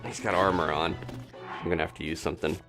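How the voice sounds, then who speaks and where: A man's voice: sits low, steady tone, quick pace, over music. A man doing casual live video game commentary, thinking aloud in a playful, rambling, muttering way.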